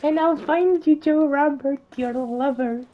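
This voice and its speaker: A woman voicing a gleefully scheming witch in an improvised storytime, with shrieking, drawn-out lines and cackles. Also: high voice, highs cut off, slight background noise, voice pushed up.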